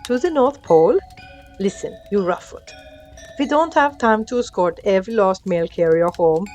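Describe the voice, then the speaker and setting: A woman's voice: medium pitch, expressive, normal speed, darkish tone, soft background music, lightly acted. A woman voicing a haughty, impatient, skinny elf in an audio story, curt and scolding. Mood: scornful, exasperated.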